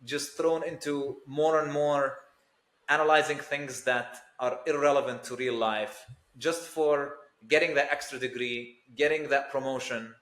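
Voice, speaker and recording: A man's voice: medium pitch, fairly even intonation, a little slow, fairly bright tone. A man speaking as a doctor being interviewed, critical and repeating his points for emphasis, in an echoey room. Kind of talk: casual interview talk.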